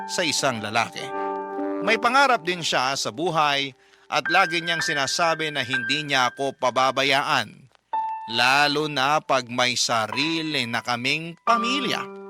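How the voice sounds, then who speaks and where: A man's voice: medium pitch, close to an everyday voice. Voiced by a man a confiding young mother's letter. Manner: confiding, reflective narration. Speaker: letter narrator in a radio drama. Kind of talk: scripted first-person narration.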